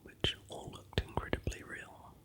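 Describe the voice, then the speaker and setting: A vlogger from New Zealand with a New Zealand accent, softly narrating a travel slideshow in a steady, unhurried whisper. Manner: soft, unhurried whisper throughout.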